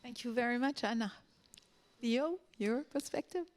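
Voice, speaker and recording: A woman moderating a panel, briskly handing the floor from one speaker to the next. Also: a little high. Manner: brisk, handing over turns.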